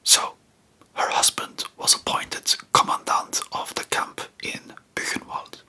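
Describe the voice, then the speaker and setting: A person whispering in an informal, rambling ASMR style, breathy and unvoiced, chatting about history close to the microphone. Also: a little slow, pushed and bright. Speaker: host of a history ASMR channel.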